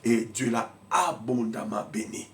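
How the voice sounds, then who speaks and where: A man preaching a sermon as pastor, who has a cold and coughs. He moves between shouted, fervent lines and quiet, mumbled asides. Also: on the low side, measured pace, creaky, slight background noise. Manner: speaks in tongues in bursts.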